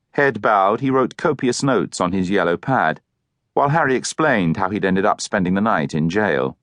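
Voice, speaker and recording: A man narrates literary fiction in a low voice with a touch of gravel. He reads scripted prose as an audiobook narrator, recorded close and dry. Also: fairly bright tone, no echo.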